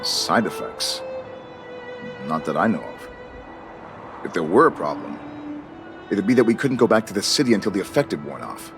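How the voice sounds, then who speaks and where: A man voicing a stern but fair military guard captain in a video game, earnest and deliberate. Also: low voice, big pitch swings, gravelly, over music, exaggerated voice. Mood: frank, concerned.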